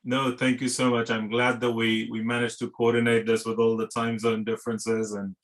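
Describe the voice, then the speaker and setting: A man's voice: medium pitch, monotone, normal speed. A man hosting a video-call interview, chatty and loose, leaning on filler phrases. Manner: chatty, hedging with "sort of" and "you know". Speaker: interview host, association chairman.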